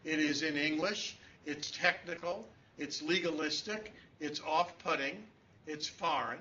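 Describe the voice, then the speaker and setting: A man's voice: a little high, unhurried, bright, forceful tone, dull audio, a little hiss or hum. A man giving a conference talk from a distant microphone over a remote link, hesitant, with many fillers and restarts. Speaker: conference speaker, law professor. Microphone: distant room microphone on a call.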